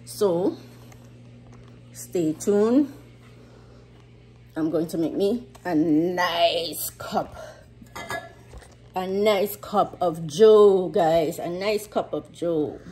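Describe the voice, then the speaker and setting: Lower-pitched Caribbean woman vlogging. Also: extremely slow, long pauses, mellow, slight background noise. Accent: US Virgin Islands Caribbean. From US Virgin Islands. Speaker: vlogger, host. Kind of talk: casual vlog chat to camera. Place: home kitchen, indoors.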